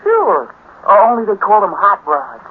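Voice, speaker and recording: Cocky high-voiced teenage boy, vintage radio. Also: wildly swooping pitch, normal speed, slightly rough, full character voice. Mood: cocky, eager. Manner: slangy, folksy, confident know-it-all. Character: cocky, scheming teenage boy, space-age hot-rodder. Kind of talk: 1950s radio drama acting. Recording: scratchy, tinny 1950s radio recording with hiss.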